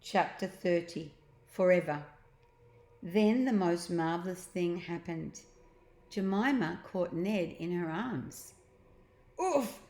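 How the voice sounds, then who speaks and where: A woman's voice: fairly low pitch, takes it slowly, a little mellow. A woman narrating a children's story aloud, sometimes chatting about the pictures. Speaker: storybook reader. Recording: echoey, roomy home recording.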